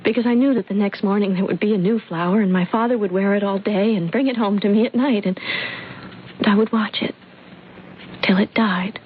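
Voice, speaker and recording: A woman's voice: medium pitch, fairly even intonation, brisk, natural voice. An actress playing a sensitive, impressionable young woman with hesitant wonder, in old-time radio drama style. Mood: wistful, confiding. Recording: muffled radio recording with noise.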